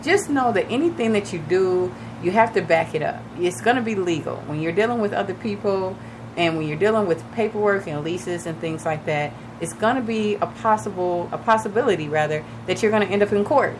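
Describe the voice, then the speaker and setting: A woman radio host with a raspy, slightly shaky voice from recently losing it, chatting casually and advising listeners directly. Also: medium pitch, brisk, noisy background. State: recovering from lost voice, a little shaky. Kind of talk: casual radio talk on real estate. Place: radio studio.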